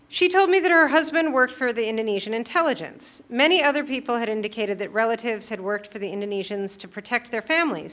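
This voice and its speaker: A woman presenting her research at a panel, reading a prepared paper in a measured, formal way. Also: mid-range voice, a bit bright. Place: lecture room at a panel event.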